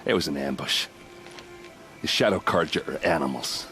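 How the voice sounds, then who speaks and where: An actor playing a gruff, self-deprecating soldier in a video game, blunt and wry, with a weary manner. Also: male voice, a bass voice, expressive, normal speed, sharp tone, faint music behind, full character voice. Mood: grieving. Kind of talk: dramatic video game acting.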